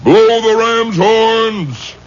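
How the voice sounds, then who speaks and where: An actor playing a stern, commanding rabbi judge and exorcist, solemn and declamatory, in a 1970s radio drama. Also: male voice, high-pitched, very slow. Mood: commanding, thunderous. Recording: muffled old radio recording.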